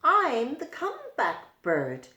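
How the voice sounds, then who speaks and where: A woman's voice: high-pitched, wildly swooping pitch, slow pace, sharp tone, roomy sound, voice pushed up, exaggerated voice. A woman voicing a friendly male duck-like storybook bird with a repetitive quacking call. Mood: eager calling.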